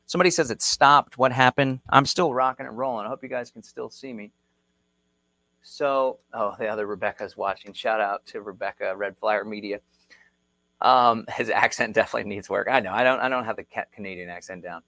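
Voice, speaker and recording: A man in his thirties with a Texan accent, giving an energetic, chatty live-streamed tutorial and reading viewer comments aloud. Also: on the low side, brisk, creaky, no echo. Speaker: crypto instructor.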